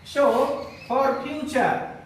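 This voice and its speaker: A man giving a grammar lesson to students as their teacher, explaining in a deliberate, repetitive way. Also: very high voice, fairly even intonation, slight background noise. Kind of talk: classroom lesson addressed to students. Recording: echoey room, distant microphone.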